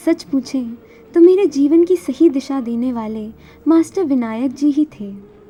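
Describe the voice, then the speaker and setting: A woman's voice: high-pitched, warm tone, a little hiss or hum. A woman whose native language is Marathi, narrating her own life story from a script as a singer.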